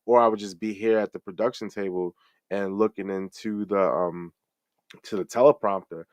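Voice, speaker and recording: A middle-aged man with a fairly low voice talks through his answers in a friendly, reflective way. He halts and restarts often, and the recording is dry and close with no room sound. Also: darkish tone, no echo. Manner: rambling, halting, many restarts and fillers. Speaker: guest, fellow interviewer and streamer. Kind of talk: casual interview answers.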